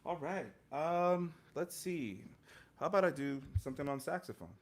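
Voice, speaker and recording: A man's voice: a little high, animated, normal speed, slight background noise. Earnest man on a video call. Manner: earnest, reflective, many fillers and restarts. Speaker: guest musician. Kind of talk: conversational interview answers. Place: home music studio, indoors. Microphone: studio mic on stand, headphones, video call.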